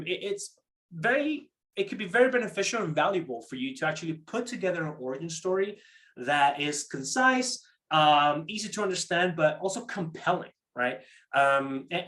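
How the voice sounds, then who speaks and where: Male workshop presenter, somewhat high-pitched, conversational and explanatory, with repeated words, restarts and frequent check-in tags. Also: a bit bright. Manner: conversational, seeks agreement with tag questions. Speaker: program manager presenting a workshop. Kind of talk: interactive online workshop talk on pitching.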